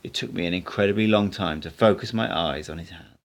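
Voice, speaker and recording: A man reads scripted prose aloud as an audiobook narrator. His voice sits on the low side, and he delivers the text as a storyteller would. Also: fairly quick. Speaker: amateur audiobook reader.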